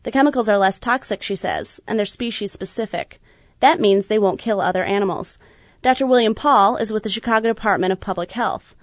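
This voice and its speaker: A woman radio reporter narrating a scripted news feature in a measured, even delivery. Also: mid-range voice, no echo.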